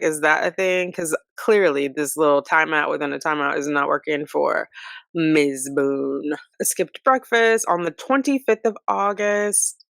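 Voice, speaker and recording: Low-pitched sassy American woman, close and dry. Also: fairly bright tone, no echo. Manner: sassy, conversational asides. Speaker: host of a commentary channel. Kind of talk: dramatic reading of reports with casual commentary.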